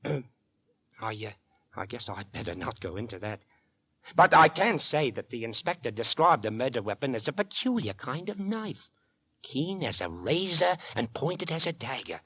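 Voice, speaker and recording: A chatty London policeman and suitor in a vintage radio play. His voice is raspy and very lively in pitch, close and dry. He explains things earnestly and deliberately, in a folksy way. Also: dry recording, full character voice. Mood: boastful. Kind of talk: 1940s radio acting. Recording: vintage 1940s radio recording.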